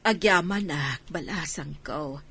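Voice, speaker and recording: A woman's voice: low voice, takes it slowly, a bit bright, slightly rough, heavily acted. A woman with an Ilocano accent playing a humble old village grandmother in a radio drama. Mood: grateful.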